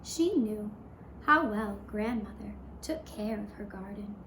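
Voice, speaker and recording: A woman storyteller narrating a fairy tale for young children in a gentle, measured manner with short pausing phrases. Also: mid-range voice, expressive. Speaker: puppet show storyteller. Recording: roomy echo, clear background noise.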